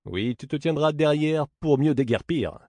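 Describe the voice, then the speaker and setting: A man's voice: fairly high pitch, normal speed, warm tone, no echo, voice pushed up. A man playing a sly, sceptical servant in a stage play, teasing with dry sarcasm. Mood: sarcastic teasing. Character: Grégoire, mocking, sly, sceptical male servant.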